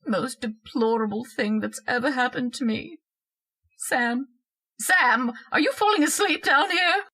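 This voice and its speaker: A woman's voice: high-pitched, a little slow, bright, forceful tone, husky, full character voice, poor audio. A woman playing a snobbish, scolding wife and party hostess in old-time radio horror style. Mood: scornful, aggrieved. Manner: haughty, scolding, theatrical declaiming.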